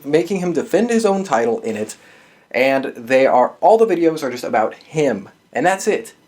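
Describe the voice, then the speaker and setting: A man talks solo as a podcast host, offering casual and opinionated sports-style predictions. His delivery is blunt and exasperated, peppered with swearing. The voice sits at a middling male pitch. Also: darkish tone.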